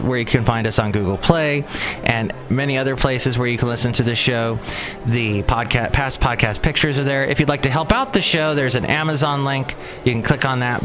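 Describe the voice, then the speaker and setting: A middle-aged man hosting his own podcast, rambling and chatty, often breaking off mid-sentence. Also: mid-range voice. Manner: rambling, chatty, self-interrupting. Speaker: podcast host. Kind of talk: casual solo podcast chat.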